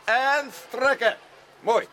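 Een acteur die in een hoorspel een zwemleraar speelt die luid korte, aanmoedigende bevelen roept. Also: male voice, very high-pitched, fairly even intonation, extremely slow, full character voice. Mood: commanding, encouraging. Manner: loud, clipped commands. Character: loud encouraging swimming coach calling out drills. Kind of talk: Dutch radio play, shouted instructions. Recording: noisy recording with pool ambience.

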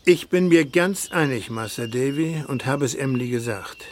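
An actor playing a warm-hearted, humble older fisherman in a radio play, speaking emotional North German dialect. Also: mid-range voice, animated, normal speed, a little hiss or hum, natural voice. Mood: determined, hopeful. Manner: rustic dialect speech, emotional.

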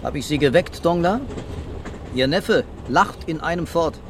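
An actor playing a brooding, terse police commissioner in a German radio crime drama. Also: male voice, a little high, measured pace, background noise. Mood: brisk, urgent. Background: music and vehicle ambience.

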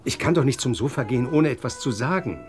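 An actor playing a self-important, insistent stage performer with a histrionic, pleading manner in a radio drama. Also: male voice, mid-range voice, animated, fast talker, faint music behind, lightly acted.

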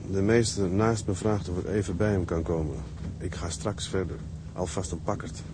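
Actor as dry young ship's mate, noisy backdrop. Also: male voice, low voice, fairly even intonation, normal speed, lightly acted. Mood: tender, narrating. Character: young Dutch tugboat mate, sober, dryly sceptical newlywed. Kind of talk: radio drama acting.

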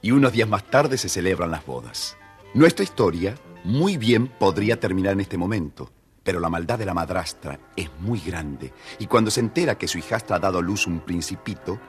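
A man narrating a children's story dramatically, addressing his young listeners directly. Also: deep voice.

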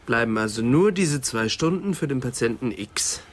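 An actor playing a wry, teasing police assistant in a radio crime drama, talking in a colloquial, dryly ironic way. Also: male voice, mid-range voice, lively intonation, moderate pace, natural voice. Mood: matter-of-fact. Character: wry, teasing male police assistant reporting to his inspector.

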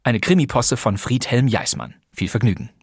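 A male host delivers a scripted introduction to a crime play. His voice is slightly rough and husky, very lively in intonation, and recorded close and dry. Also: medium pitch, moderate pace, no echo. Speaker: podcast host.

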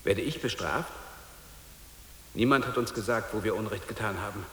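An actor playing an anguished, devoted father who clings to his faith in a radio play, moving between halting murmurs and fervour. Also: on the low side, a bit bright, lightly acted. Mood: desperate. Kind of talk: dramatic radio play monologue. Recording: echoey reverberant space with hiss.

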